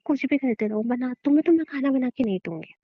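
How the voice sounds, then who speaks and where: A woman's voice: high voice, animated, quick pace, no room sound. A woman playing a stubborn, mocking wife in a domestic argument drama, answering curtly and sarcastically. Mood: defiant. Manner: curt, sarcastic, repeats herself stubbornly. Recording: dull, dry phone-call recording.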